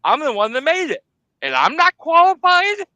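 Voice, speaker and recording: A man's voice: extremely high pitch, wildly swooping pitch, normal speed, bright, forceful tone, dry recording. A man in casual podcast chat, blunt and insistent.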